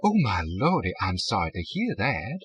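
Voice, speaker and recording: A man's voice: lowish voice, huge pitch swings, normal speed, husky, lo-fi sound, heavily acted. A man voicing a pleasant, folksy middle-aged woman who works as a bank clerk, chatty and colloquial, in an audiobook. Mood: sympathetic, apologetic. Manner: folksy, colloquial, ungrammatical talk.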